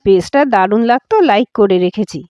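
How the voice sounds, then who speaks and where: A woman's voice: medium pitch, animated, moderate pace, warm tone, no echo, studio quality, natural voice. A woman voicing a groom's friendly, caring sister in an audio story, with a chatty, familiar way of talking. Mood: admiring.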